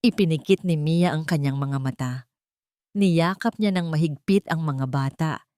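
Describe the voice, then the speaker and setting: Low-voiced woman narrating drama, dry sound. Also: moderate pace, warm tone, clean tone, no room sound. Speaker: story narrator. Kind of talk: dramatic scripted audio story narration in Tagalog.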